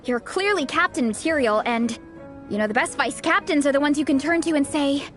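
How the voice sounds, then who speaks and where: A woman's voice: high-pitched, a little fast, a bit bright, faint music behind. A woman voicing an upbeat, encouraging young female adventurer in a video game, with a perky manner. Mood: earnest, amused.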